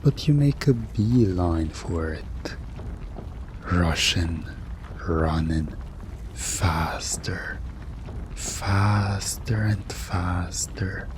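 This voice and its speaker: A man narrating a scripted hypnosis ASMR story in a hushed, suggestive way with dramatic pauses. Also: low-pitched, background noise.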